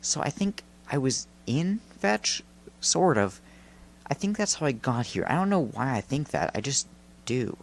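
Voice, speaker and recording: Vengeful young male spirit for a storybook, played by a male reader: surly, bitter, brooding, quick to snap. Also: medium pitch, very animated, vocal fry, voice pushed up, exaggerated voice. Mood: musing, sullen.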